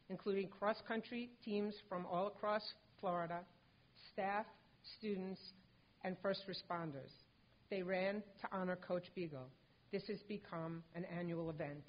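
An older woman at a lectern, reading a prepared tribute speech in a calm, measured way. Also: mid-range voice. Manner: measured, composed delivery. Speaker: mother accepting a hall of fame honor for her late son. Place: stage with a lectern in a large indoor hall. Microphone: lectern microphone. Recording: dull lo-fi hall recording.

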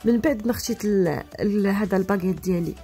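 Fast-talking Moroccan woman, mellow voice. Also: medium pitch, faint music behind. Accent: Moroccan Arabic. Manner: chatty, practical, talks straight to viewers. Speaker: cooking channel host. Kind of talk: voice-over cooking tutorial.

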